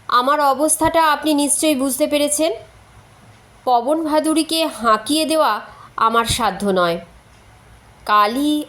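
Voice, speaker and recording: A woman's voice: high-pitched, a bit bright. A woman narrator voicing a frank, playful young woman reading out her chatty letters in a storybook.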